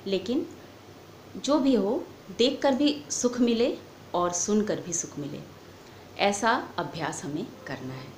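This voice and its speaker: A woman's voice: mid-range voice, lively intonation, takes it slowly. Echoey woman teaching. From India. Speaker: music lecturer, vocal teacher. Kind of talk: instructional lecture on singing. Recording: echoey room with faint background noise.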